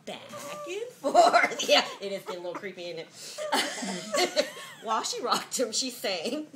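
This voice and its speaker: Woman doing a mock dramatic kids book reading, pitch all over the place, jokey comments, noisy home video sound. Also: wildly swooping pitch, unhurried. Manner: jokey, giggly, sardonic commentary. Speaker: reader of a picture book. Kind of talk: mock-dramatic storybook reading with amused asides.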